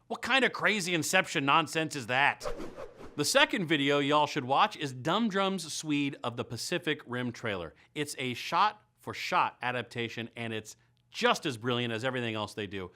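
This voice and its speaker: A man's voice: mid-range voice, sharp tone. An American man hosting a comedy web series, delivering a scripted monologue to viewers in a wry, sarcastic, emphatic way.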